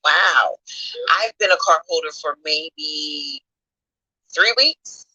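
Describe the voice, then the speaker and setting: Cheerful bright-voiced woman moderating. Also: deep voice, takes it slowly, breathy edge, no echo, low-quality recording. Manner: cheerful, enthusiastic. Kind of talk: casual chat.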